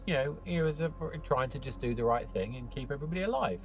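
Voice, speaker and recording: A man's voice: medium pitch, expressive, fast, highs cut off, a crowd in the background. A man hosting and running a game, chatting casually with his players in a group debrief. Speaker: host and game master.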